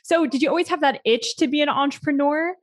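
Upbeat woman podcast host, higher voice. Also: fast. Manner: warmly enthusiastic, scripted intro then chatty questions. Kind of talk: podcast interview.

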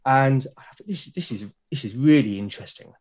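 A man's voice: mid-range voice, animated, brisk, a little mellow. A man chatting casually as a podcast guest, enthusiastic but hesitant, often repeating words as he goes. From Britain. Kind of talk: casual conversational interview.

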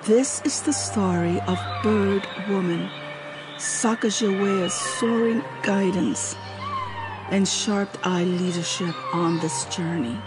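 A woman's voice: mid-range voice, slow pace. A woman reading scripted promotional narration for an adventure series. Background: loud music.

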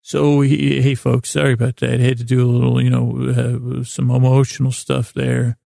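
A man hosting a podcast with a whimsical, meandering bedtime monologue, halting and soothing as he goes. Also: medium pitch, completely flat delivery, gravelly, dark tone, no echo, studio quality. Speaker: podcast host.

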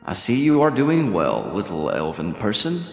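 A man's voice: sits low, huge pitch swings, normal speed, vocal fry, reverberant, long reverb tail, soft background music, exaggerated voice. A man voicing a young male sphinx cub who speaks in a grand, formal, declaiming way. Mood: haughty.

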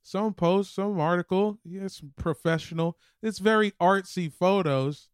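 A man's voice: sits high, moderate pace, smooth, no room sound, clean studio sound. A man co-hosting a podcast with casual, irreverent comic banter full of slang and swearing. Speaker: podcast co-host.

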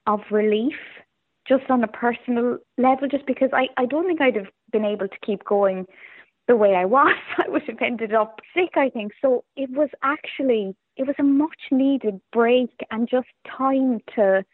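Female interviewee, Irish accent, phone-band sound, dry; chatty, reflective, average pitch. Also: moderate pace, no echo. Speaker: playwright and performer being interviewed. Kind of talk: casual reflective interview chat. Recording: tinny, phone-like remote line.

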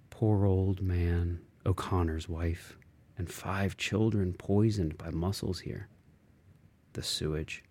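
A man reading a literary audiobook, voicing an interior monologue in musing, fragmented phrases with expressive shifts. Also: sits low. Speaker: audiobook reader.

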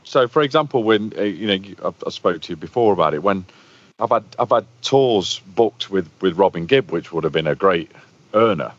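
A man with a deep, boomy voice rambles through stories as a guest in a casual conversation. His pitch sits very low. The sound is slightly muffled and lo-fi. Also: animated, a little fast. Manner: talkative, storytelling. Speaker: guest, bass player. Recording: muffled lo-fi audio.

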